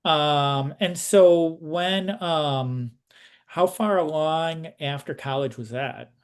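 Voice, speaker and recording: A man's voice: on the high side, takes it slowly, clear, resonant tone. Mature man, interviewer, casual exploratory questioning.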